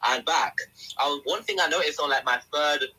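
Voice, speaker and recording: A young man with a husky, very bright, forward voice, speaking a little high for a man. He tells his story as a podcast guest in a lively, animated way and slips into the voices of the people he quotes. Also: moderate pace, rough recording. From England. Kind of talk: casual podcast testimony.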